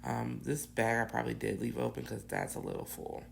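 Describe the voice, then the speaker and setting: A woman with a raspy, hoarse voice from bronchitis, casually rambling through a cookie-icing tutorial with many ums. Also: very deep voice, wildly swooping pitch, brisk, slight background noise. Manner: rambling, lots of ums and restarts. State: hoarse, getting over bronchitis. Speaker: cookie decorator.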